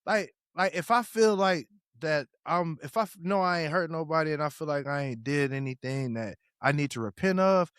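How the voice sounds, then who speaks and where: A man's voice: on the high side, moderate pace, hardly any pauses, a bit bright, clean tone, no echo, clean studio sound. A man co-hosting a podcast as a hip hop artist, talking in an emphatic, slangy way and often repeating words. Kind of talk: casual chat.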